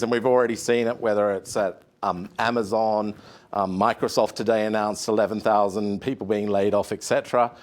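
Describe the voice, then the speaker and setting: An Australian man speaking at a conference panel, measured, with many "um"s and restarts. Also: medium pitch, darkish tone. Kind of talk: conference talk.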